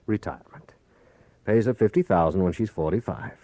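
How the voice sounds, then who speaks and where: A man's voice: deep voice, brisk, dark tone, no room sound. A man playing a teasing, exasperated American insurance adjuster in a 1950s radio drama, talkative and emphatic. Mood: teasing, explanatory.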